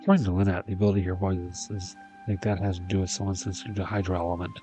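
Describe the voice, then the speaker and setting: A man with a fairly low voice plays a game and talks quickly. He reads dialogue and reacts casually, with game music behind him. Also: flat delivery. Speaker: gaming video player.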